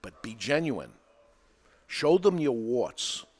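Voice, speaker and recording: A male podcast host with a New England accent, recorded live in a podcast studio. He talks in a plain, energetic way and drives each point home. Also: mid-range voice, slow.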